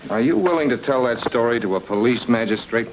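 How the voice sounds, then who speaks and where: An actor playing a cynical, unflappable private detective in a noir radio drama, with dry, clipped, sardonic delivery. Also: male voice, medium pitch, animated, quick pace, background noise, close to an everyday voice. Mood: cool, dry. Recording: old-time radio drama, music underneath.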